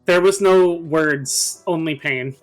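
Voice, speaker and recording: A man with a fairly high voice talks casually over a video game, tossing in joking remarks as a co-commentator. Soft music plays behind him. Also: slow, low-quality recording. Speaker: co-player and commentator on a game series.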